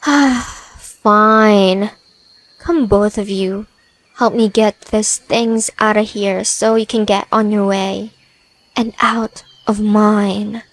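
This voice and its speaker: A woman's voice: mid-range voice, steady tone, drawn-out words, no echo. A woman voicing a haughty, prickly spider-woman with a dry, scornful manner in an audio roleplay.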